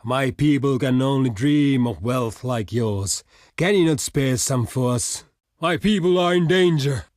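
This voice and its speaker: A man's voice: medium pitch, expressive, a little slow, a little mellow, no echo, studio quality, close to an everyday voice. Man playing a proud, solemn barbarian envoy. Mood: courteous, persuasive. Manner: formal, solemn, declamatory. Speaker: diplomatic messenger. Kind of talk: theatrical video game voice lines.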